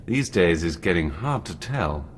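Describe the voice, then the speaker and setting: A manipulative English army major in a wartime radio drama. His voice is deep and swings expressively while staying measured and understated. A faint noise sits behind him. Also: big pitch swings. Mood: coolly persuasive. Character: shrewd British army major, smooth and manipulative.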